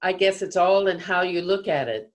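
A woman's voice: on the low side, moderate pace. A woman in her sixties giving scripted storytelling for children, recorded over a video call from a room at home. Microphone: video call computer mic.

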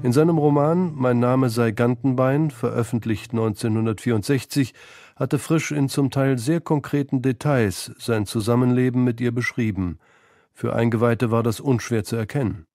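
Mellow male documentary narrator. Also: medium pitch, dry recording, studio quality. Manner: measured, literate, sober delivery. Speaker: narrator of a radio feature.

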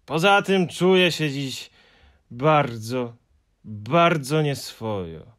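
A man's voice: medium pitch, lively intonation, a bit bright, pitched above the performer's own voice. A man voicing a gloomy, fussy male Hemulen who drags out his mournful complaints in an audiobook. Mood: glum. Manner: mournful, sighing, drawn-out complaints. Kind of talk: audiobook character voice.

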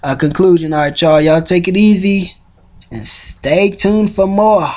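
A man's voice: high voice, a little slow, a little mellow. A young man chatting casually to his viewers on a webcam in a small room at home, rambling and laughing as he goes. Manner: laid-back, rambling, laughs often. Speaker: YouTuber. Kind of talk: casual vlog chat. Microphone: webcam at arm's length.

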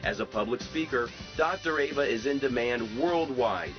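A man reading a scripted introduction as an announcer, formal and measured. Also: medium pitch, expressive. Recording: dull lo-fi sound with music.

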